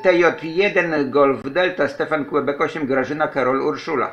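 A man's voice: on the high side, steady tone, moderate pace, very noisy. A man from Poland chatting casually as an amateur radio operator, repeating his phrases and spelling out call signs. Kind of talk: casual ham radio contact.

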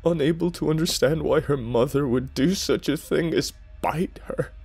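A man playing a grieving, horrified witness telling a tragic story in a husky, creaky, halting voice. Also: a little high, darkish tone, faint music behind, pitched above the performer's own voice.

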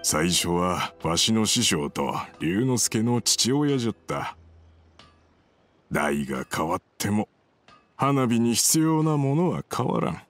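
A gruff old master swordsmith from an anime game. His low voice rises and falls strongly, curt and old-fashioned, stern yet caring, over faint music. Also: big pitch swings, slow. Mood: solemn.

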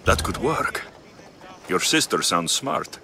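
A man's voice: on the low side, big pitch swings, slow, bright, forceful tone, slight background noise, full character voice. An actor in his thirties voicing a blunt mercenary warrior in a video game, curt and demanding. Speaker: game character.

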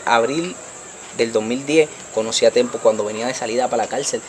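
A young man from the Dominican Republic speaks with a Puerto Rican Spanish accent, animated and gesturing as he tells his story. His voice sits at an ordinary middle pitch. A faint hum of night insects runs behind the handheld mic outdoors. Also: fast. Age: young adult. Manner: animated, gesturing, repeats "tú me entiendes". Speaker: interviewee. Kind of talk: street interview storytelling. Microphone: handheld mic held by interviewer.

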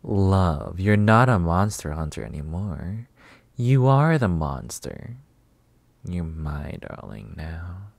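A man playing a flirty, possessive, delusional vampire master in a roleplay ASMR monologue, teasing and theatrical with drawn-out words. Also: deep voice, very animated, creaky, no room sound, heavily acted. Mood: mocking, possessive.

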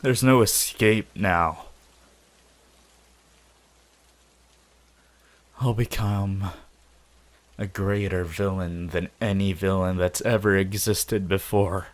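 A man voicing a sinister young male villain in an ASMR roleplay, possessive and grandiose, speaking in a gloating, deliberate manner with dramatic pauses. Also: deep voice, fairly even intonation. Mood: megalomaniac fury. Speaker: voice actor.

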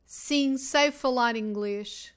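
A woman with a European Portuguese accent drills beginner phrases, saying each one twice. Her voice sits high, and she speaks slowly and carefully, giving every word its space. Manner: reads each line twice, clearly and deliberately. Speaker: Portuguese teacher. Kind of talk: slow repeated phrase drills for learners.

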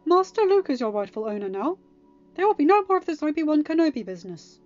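A prim, fussy male robot played by a woman in an audiobook, pitched up high and dramatically anxious. Music sits behind the slightly dull recording. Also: big pitch swings, fairly quick, fairly bright tone, full character voice. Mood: scolding. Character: fussy, anxious, scolding male protocol droid.

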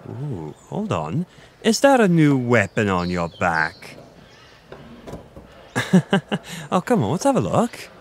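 A man playing a flirty, gentle Irish innkeeper in an intimate, conversational roleplay audio, with a teasing, self-deprecating manner. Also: mid-range voice, wildly swooping pitch, slow, pauses often, slight background noise, full character voice.

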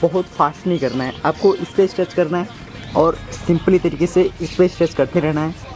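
Young male amateur fitness instructor, twenties, higher-pitched voice, casual step-by-step delivery, clear music bed underneath. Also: fairly even intonation, a little fast. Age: 20s. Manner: casual, instructive, repeats phrases. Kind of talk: workout demonstration talking to viewers. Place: outdoors in a brick-walled yard or rooftop. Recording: loud music behind voice.

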